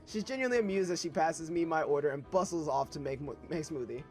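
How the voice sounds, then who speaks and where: A man's voice: on the high side, fast talker, a little mellow, soft background music. A young man reading a novel aloud in first person, breaking off for irreverent, joking asides. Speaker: reader of a novel.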